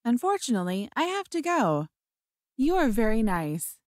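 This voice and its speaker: A woman voicing a cheerful young woman in a scripted learner dialogue, spoken brightly and clearly. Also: fairly high pitch, very animated, moderate pace, no echo, clean studio sound.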